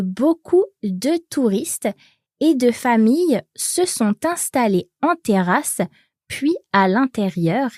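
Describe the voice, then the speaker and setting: A woman in her twenties from France, reading French lines and adding grammar notes in a relaxed way. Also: on the high side, dry recording, studio quality. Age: 20s. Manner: slow, relaxed, with fillers and light laughs. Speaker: co-host, native French speaker. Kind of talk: bilingual language lesson.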